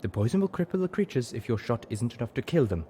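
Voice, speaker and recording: A grave zebra warrior woman from a storybook, performed by a man. She is terse and solemn, instructing with a lifted pitch and a softened tone. There is background noise. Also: sing-song, fast, natural voice. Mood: urgent, instructive.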